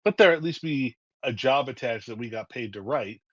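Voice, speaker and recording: A man's voice: medium pitch, animated, moderate pace, fairly bright tone, slightly rough, dry recording. A man being interviewed as a writer, telling stories in a thoughtful, wry way with some restarts, over a remote call. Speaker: writer guest. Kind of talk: conversational interview. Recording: dull dry lo-fi audio.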